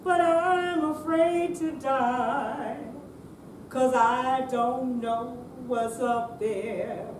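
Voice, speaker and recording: Very slow, high-voiced woman reciting. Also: a little mellow. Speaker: reader in senior center readers theater. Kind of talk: slow dramatic recitation with some singing. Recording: roomy, distant live recording, faint noise.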